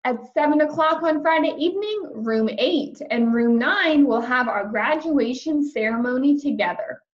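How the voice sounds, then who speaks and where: A woman's voice: high-pitched, animated, moderate pace, dull audio. A woman voicing a cheerful, orderly kindergarten teacher in a storybook, addressing her class and explaining things simply. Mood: cheerful, announcing.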